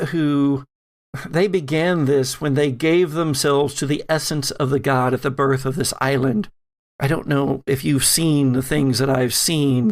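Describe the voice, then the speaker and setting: An elderly grandmother played by a man speaks slowly and gravely for a tabletop story. The voice creaks, and weighty pauses fall between phrases as this solemn mystic pleads. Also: a little high.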